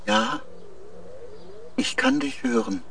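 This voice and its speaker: Slow, halting spaceship mind. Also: male voice, very high voice, wildly swooping pitch, gravelly, a bit bright, a little hiss or hum, exaggerated voice. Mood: confused. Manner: halting, short simple sentences. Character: confused, dreamy male artificial mind of a spaceship. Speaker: radio drama actor. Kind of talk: German radio play dialogue acting.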